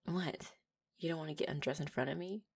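A woman's voice: low voice, a little fast, vocal fry, a little hiss or hum. A young woman voicing a playful, affectionate neko girlfriend in an audio roleplay, giggly and teasing as she talks.

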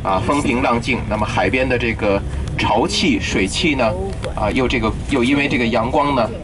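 A man's voice: mid-range voice, moderate pace, noisy background. A man giving explanatory tour commentary to a group as their guide, often punctuating his phrases with little filler sounds. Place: in a vehicle moving through city traffic.

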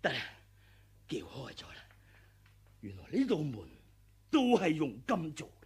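An actor playing a greedy, ruthless older martial arts master, theatrical and raspy, muttering to himself in a radio drama. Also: male voice, fairly high pitch, wildly swooping pitch, unhurried, darkish tone, heavily acted. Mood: eager muttering. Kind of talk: Cantonese radio drama villain acting.

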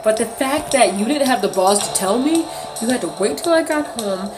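A woman's voice: on the high side, expressive, moderate pace, music in the background, rough recording. A woman in her thirties telling a story as a casual, slangy rant to camera, indignant and emphatic, on a phone held at arm's length in a bedroom. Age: 30s. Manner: indignant, emphatic, slangy venting with mimicked quotes. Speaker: vlogger. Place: small bedroom, indoors.

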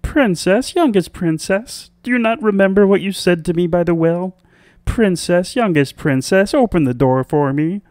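A man's voice: high-pitched, expressive, normal speed, a little mellow, no echo, clean studio sound, voice pushed up. A man voicing a small male frog in a fairy tale, courteous, pleading and insistent. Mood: insistent, calling. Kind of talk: storybook character voice.

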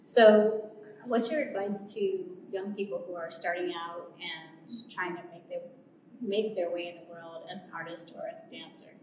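A woman's voice: on the low side, steady tone, normal speed, a little mellow. A woman around forty, hosting a conversational interview in a gallery, often restarting her phrases. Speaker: interviewer, host. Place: indoor museum gallery. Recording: roomy echo, distant mic, faint noise.

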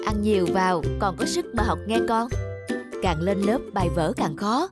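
Brisk, practical cartoon dad. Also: male voice, sits high, animated. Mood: fatherly, encouraging. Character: busy, practical father of a schoolboy. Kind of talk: animated family story acting. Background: music.

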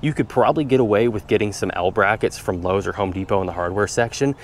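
A man's voice: fairly low pitch, quick pace, slight background noise. A man in a casual how-to vlog, explaining his truck setup in a methodical, practical way, outdoors by his truck. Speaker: vlogger showing his truck build.

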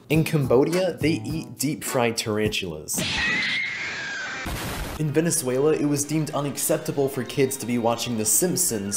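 A presenter reading a light, wry fun facts segment as scripted voice-over. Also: medium pitch.

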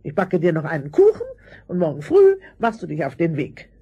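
An actress playing a stingy, scolding aunt in a fairy-tale audio play, querulous and husky. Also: female voice, sits low, huge pitch swings, brisk, warm tone, heavily acted. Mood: dismissive. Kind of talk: German fairy-tale audio drama acting.

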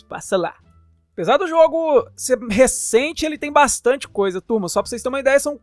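A man's voice: extremely high pitch, fairly bright tone, no room sound. A man with a Brazilian accent hosting a casual game showcase, talking in a jokey, playful, slangy way. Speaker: YouTube channel host reviewing games.

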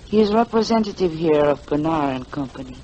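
An actress playing a poised, evasive widow who speaks formally and coolly in a 1940s radio drama. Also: female voice, low voice, animated, fairly quick, lo-fi sound. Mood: coolly pointed. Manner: cool, formal, dismissive. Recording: noisy old 1940s radio recording.

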